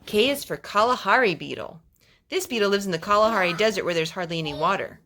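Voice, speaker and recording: A woman's voice: medium pitch, animated, fast talker, fairly bright tone. Woman reading to children, noisy recording. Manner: asks the child questions and repeats answers back. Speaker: mother reading to her children. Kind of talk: children's read-aloud storytime with chat and song.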